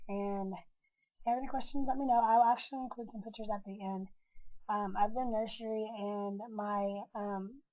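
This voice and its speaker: A woman's voice: mid-range voice, steady tone, normal speed, mellow, rough recording. A young woman chatting casually in a vlog. Her voice is nasal and congested from a cold, and she rambles with many filler words. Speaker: vlogger. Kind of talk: casual chat.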